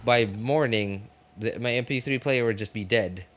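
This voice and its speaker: A man in his thirties chatting casually as he streams a game, halting and repeating himself as he reminisces. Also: mid-range voice, fairly bright tone. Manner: halting, reminiscing, many hesitant fillers and repeats. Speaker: livestreamer. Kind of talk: casual rambling chat while streaming a game. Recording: game music playing behind the voice. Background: video game music and character dialogue.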